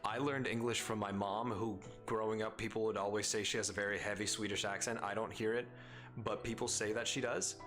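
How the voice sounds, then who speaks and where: A man's voice: on the low side, little pitch movement, fairly quick, fairly bright tone, faint music behind. A man with an Arizona accent hosting a live stream, chatting casually with viewers in a playful, teasing, rambling way.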